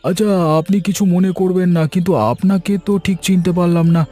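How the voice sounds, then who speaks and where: A man's voice: high voice, normal speed, dark tone, no echo, pitched above the performer's own voice. A man voicing a chatty, easygoing village vegetable seller in a cartoon story. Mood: friendly. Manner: eager, sociable. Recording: music bed behind.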